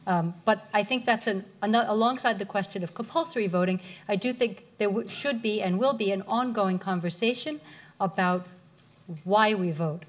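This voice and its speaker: Measured woman lecturer. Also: mid-range voice. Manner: articulate, measured, thoughtful. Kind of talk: lecture Q&A answer.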